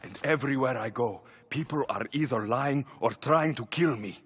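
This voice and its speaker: An actor playing a determined mercenary captain in a video game, firm and quietly pressing. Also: male voice, mid-range voice, moderate pace, slightly rough, lightly acted. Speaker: game protagonist.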